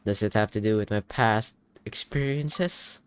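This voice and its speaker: A young man giving casual game reaction commentary, excitable and thinking aloud. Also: lowish voice, measured pace, clear, resonant tone. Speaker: gaming YouTuber. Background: game music.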